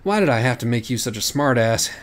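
A man's voice: mid-range voice, lively intonation, fast talker, voice pushed up, close to an everyday voice. A man voicing a scheming, foul-mouthed writer in an audiobook, urgent and impatient. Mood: exasperated.

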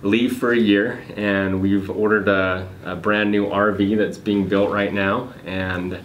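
A man with a very low voice answers interview questions in an unhurried, halting way, full of fillers and restarts. He is in an echoey office meeting room, picked up from across the table. A faint noise hums underneath. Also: little pitch movement, highs cut off. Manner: hesitant, many fillers and restarts. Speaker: guest, real estate investor.

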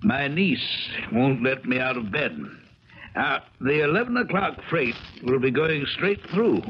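Animated, halting elderly uncle. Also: male voice, mid-range voice, slightly rough. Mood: folksy, evasive. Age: older. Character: ailing elderly uncle, fond, evasive, sentimental. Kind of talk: 1970s radio drama acting. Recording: crackly, dull 1970s radio recording.

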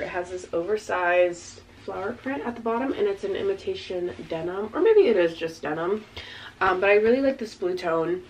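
A young woman in her twenties chatting casually as she tries on outfits in her bedroom, a little raspy from congestion, rambling with lots of fillers. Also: mid-range voice. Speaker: fashion vlogger. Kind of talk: casual try-on chat. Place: small bedroom with backdrop, indoors. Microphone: camera mic from a few meters away. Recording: slightly distant camera mic, faint room noise.

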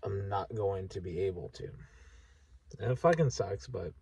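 A man of about thirty, talking frankly and haltingly in a sweary, candid personal vlog monologue. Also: low-pitched, lively intonation, vocal fry, a little mellow, slightly rough, no echo, far from the mic. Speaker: vlogger. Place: inside a parked vehicle at night.

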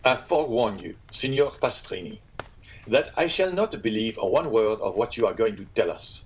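A man voicing a flippant, sceptical young gentleman in a storybook, with a dry, teasing manner. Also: on the low side, big pitch swings, moderate pace, slight background noise, rough recording. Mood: mocking, amused.

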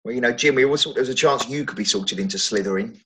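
A man's voice: mid-range voice, very fast, bright, forceful tone, natural voice. Man playing a clownish, boastful wizard dad. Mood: fond. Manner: playful, mock-grand. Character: clownish middle-aged wizard dad, silly, boastful, good-natured. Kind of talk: theatrical table read over video call. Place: room at home, video call.

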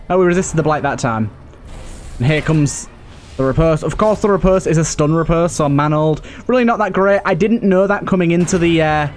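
Higher-voiced man commentating over game ambience. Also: slight background noise. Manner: repeats phrases, thinking aloud. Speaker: gaming video host. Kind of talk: casual let's play commentary. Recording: clear background game ambience. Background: video game ambience and effects.

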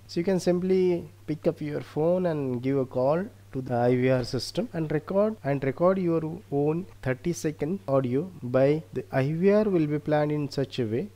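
Mellow Indian-accented man presenting. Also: a little high. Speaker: researcher presenting a project. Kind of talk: slide presentation narration.

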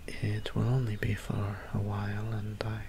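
A man voicing a gentle, shy, weary young vampire priest in a soft-spoken ASMR roleplay, breathy and whispered, with polite, formal, hesitant phrasing. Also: lowish voice, completely flat delivery, measured pace, slight background noise. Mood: shy, trusting.

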